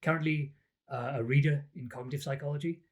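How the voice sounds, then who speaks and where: A middle-aged man with a Welsh accent, chatting as an interview guest with relaxed, self-deprecating answers full of ums. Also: on the high side, fast talker. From Wales. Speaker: academic guest, lie detection researcher.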